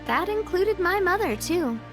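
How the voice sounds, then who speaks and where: Young lady boss from a video game, high voice, heartfelt and determined, music playing behind her. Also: expressive, unhurried. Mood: wistful, candid. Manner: earnest, reflective. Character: young woman leader, wistful but resolute, cheerful.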